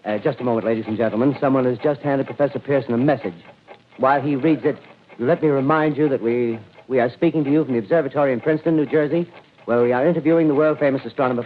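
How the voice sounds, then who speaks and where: An actor playing an earnest radio commentator in an old radio drama, describing the scene and stammering a little. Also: male voice, medium pitch, close to an everyday voice. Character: eager male radio commentator on location. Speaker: radio reporter in a radio play. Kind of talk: 1930s radio drama acting. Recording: tinny old radio broadcast, hiss.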